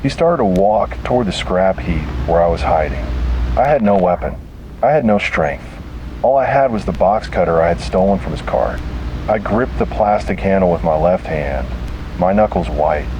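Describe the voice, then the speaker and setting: Male, deep pitch, scripted horror narration, grave dramatic delivery, noisy hum behind. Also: a little mellow.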